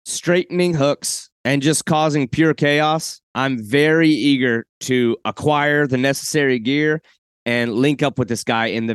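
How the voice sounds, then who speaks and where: A man's voice: medium pitch, dry recording. A man hosting a casual podcast interview, talking enthusiastically and rambling with frequent restarts. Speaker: podcast host.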